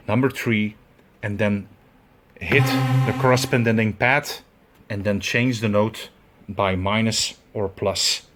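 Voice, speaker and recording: A man with a Belgian accent giving a hands-on tutorial, talking in halting steps with many fillers as he searches for words. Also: medium pitch, fairly bright tone. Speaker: tutorial presenter. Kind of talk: instructional demonstration.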